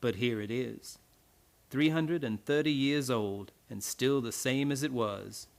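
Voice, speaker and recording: An actor playing a grim, blunt old island retainer in a radio play, with rustic, archaic phrasing full of ayes. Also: male voice, mid-range voice, measured pace, gravelly. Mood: blunt, somber.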